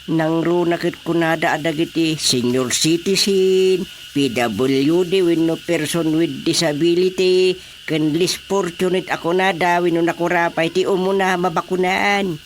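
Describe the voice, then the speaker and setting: An actor playing a reasoning, mildly exasperated village elder, explaining things colloquially with an Ilocano accent in a radio drama. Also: male voice, on the high side, steady tone, unhurried, a little hiss or hum, close to an everyday voice.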